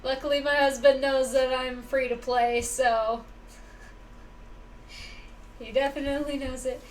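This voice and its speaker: A woman's voice: high voice, level tone, a little slow. A young woman chatting casually as she plays a game on a livestream. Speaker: game streamer. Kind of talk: casual livestream chat while gaming. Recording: distant-sounding mic, faint background noise.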